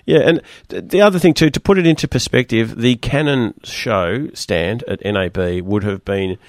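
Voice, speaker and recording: A man hosting a technology radio show, chatting casually with a croaky, hoarse voice and rambling on animatedly. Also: on the low side, dry recording, studio quality. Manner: rambling, animated, quick-talking.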